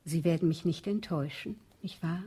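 An actress playing an effusive, genteel lady in a German radio drama, with a husky voice. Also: lowish voice, a little fast, mellow.

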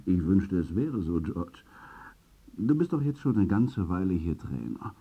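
Blunt club boss, swinging pitch. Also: male voice, very animated, a little slow, breathy edge, narrow, tinny audio, heavily acted. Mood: blunt, impatient. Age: middle-aged. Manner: blunt, commanding. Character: hard-nosed, blunt male club chairman, forty-five. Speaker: actor in a radio play. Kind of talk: radio drama dialogue.

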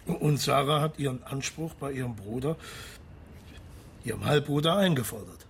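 A man's voice: medium pitch, normal speed, gravelly, a little hiss or hum, natural voice. An actor playing a sober, persistent policeman in a radio drama, asking in a terse, probing way.

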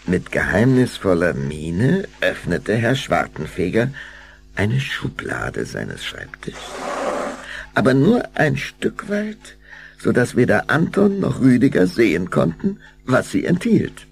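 Very deep, creaky male storyteller. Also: wildly swooping pitch, slow. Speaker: narrator. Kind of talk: scripted children's audio drama narration. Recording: clear background hum and noise.

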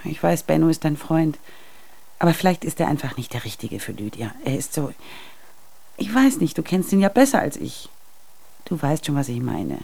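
Anxious middle-aged mother, with creaky voice. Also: sits low, big pitch swings, normal speed, dark tone, heavily acted. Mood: probing. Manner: worried, insistent, probing. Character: anxious, nagging mother and wife of a retiree. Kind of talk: naturalistic radio drama acting.

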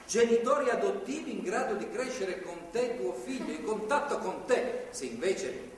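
High-pitched preachy priest, distant and echoey. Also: male voice, animated. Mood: insistent, persuasive. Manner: preachy, measured, paternalistic. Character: fervent, controlling anti-abortion priest holding a woman captive. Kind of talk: stage drama acting. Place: theatre stage. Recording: echoey theatre hall, distant mic, faint noise.

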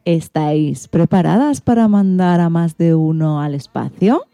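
Female radio presenter: mellow, unhurried, dry close sound over music. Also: lowish voice, no room sound. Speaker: presenter introducing a story. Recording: music behind the voice.

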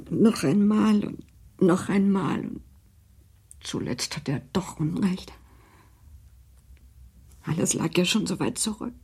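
Husky, brooding young wife. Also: female voice, fairly low pitch, a little mellow, exaggerated voice. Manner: brooding, halting inner monologue. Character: guilt-ridden young wife, brooding and rebellious. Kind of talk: German radio drama acting.

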